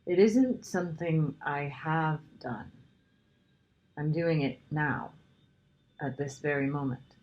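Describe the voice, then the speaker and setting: A woman voicing a gentle, guilt-ridden male faun in a children's storybook, anguished and pleading in a dramatic way. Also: sits low, slow, long pauses, lo-fi sound, a little hiss or hum. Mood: guilty, miserable. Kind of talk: children's storybook character reading.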